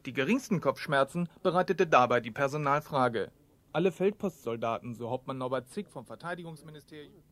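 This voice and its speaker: A man's voice: mid-range voice, expressive, brisk, fairly bright tone, no room sound. A man reading out a scripted news report. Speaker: news reader.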